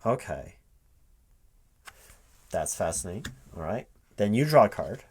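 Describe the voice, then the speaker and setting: A man in a spoken, unwhispered ASMR roleplay, talking casually and haltingly to an unheard partner as if puzzling things out aloud. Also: on the low side, big pitch swings, breathy edge. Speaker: recruiting manager interviewing a gamer in a one-sided roleplay. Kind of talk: spoken ASMR roleplay, casual one-sided conversation, not whispered.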